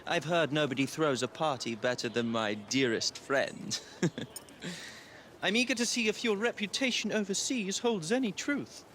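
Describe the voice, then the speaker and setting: A man playing a flattering gentleman and old friend in a video game cutscene, polished and effusive in manner. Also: on the high side, normal speed, a little hiss or hum. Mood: jovial.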